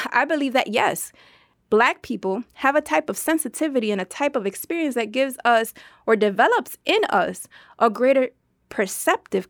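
A woman reading a dubbed translation of an interview answer in a measured, explanatory way. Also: fairly high pitch, dry recording, clean studio sound. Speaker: voice-over translator for an interviewed scholar.

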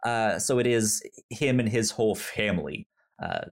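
A man co-hosting a casual podcast chat, pitching movies with many stammered restarts and fillers. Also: lively intonation, normal speed, vocal fry. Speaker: podcast co-host.

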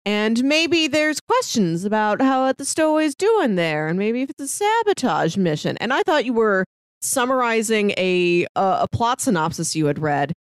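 A woman's voice: a little high, sing-song, normal speed, clear, resonant tone, dry recording, clean studio sound. A woman co-hosting a film podcast in casual conversation. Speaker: film review podcast co-host. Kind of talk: casual chat.

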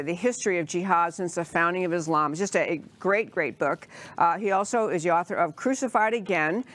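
A woman hosting a talk show, introducing her guest with chatty enthusiasm and frequent fillers. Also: on the low side. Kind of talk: interview show introduction and questions.

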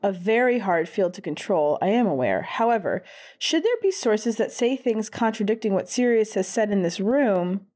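A woman voicing a poised middle-aged female agent who speaks in a measured, formal way. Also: medium pitch, lively intonation, a little mellow, pitched above the performer's own voice, lightly acted.

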